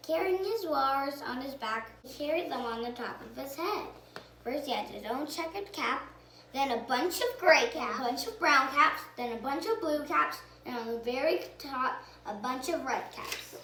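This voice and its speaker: A female storyteller reading a picture book aloud in an animated way, stopping to put questions to the listener. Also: high-pitched, normal speed. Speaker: storyteller on a children's read-aloud channel. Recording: roomy echo, faint background noise.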